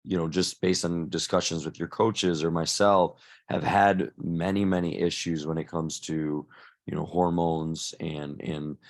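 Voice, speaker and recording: Deep, flat-voiced male fitness coach. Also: a little slow. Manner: rambling, many restarts and fillers. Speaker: fitness coach hosting a workshop. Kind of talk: casual live introduction. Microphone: video call.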